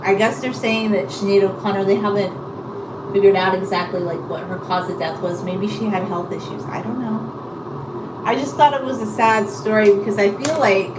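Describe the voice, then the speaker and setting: Woman chatting casually in a noisy, echoey room. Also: mid-range voice. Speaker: live-streamer chatting with viewers. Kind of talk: casual rambling chat. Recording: echoey room, distant mic, loud background noise.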